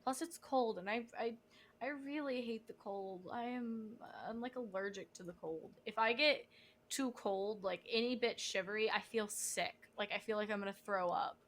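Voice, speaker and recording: A woman's voice: fairly high pitch, moderate pace, a little hiss or hum. A young woman from the United States hosting a livestream, chatting in a playful, rambling way. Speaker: livestream host. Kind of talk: casual livestream chat.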